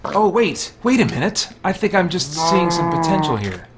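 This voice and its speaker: A man's voice: on the high side, big pitch swings, normal speed, heavy background noise. A middle-aged man hosting in casual chat, with a wry, informal, complaining way of talking. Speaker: host. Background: music.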